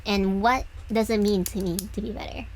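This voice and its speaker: A young woman streaming as she draws, talking softly and lower than usual, hesitant and often trailing off. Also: fairly even intonation, moderate pace, a little hiss or hum. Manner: soft, hesitant, trailing off with restarts. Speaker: streamer drawing commissions. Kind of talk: casual reflective chat while drawing.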